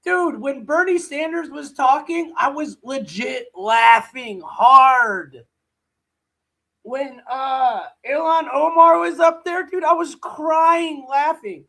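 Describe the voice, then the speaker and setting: A man's voice: extremely high pitch, slow, pushed and bright, rough recording. A man hosting a livestream, giving mocking live reaction commentary with a sore throat. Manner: mocking, laughing ranting. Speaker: livestream host.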